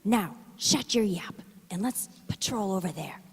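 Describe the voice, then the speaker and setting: A woman's voice: medium pitch, expressive, unhurried, a little hiss or hum, close to an everyday voice. A woman voicing a greedy, scheming small-time gangster in a radio drama, slangy and half-whispering. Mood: conspiratorial. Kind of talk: 1940s radio drama acting. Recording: echoey hall reverb.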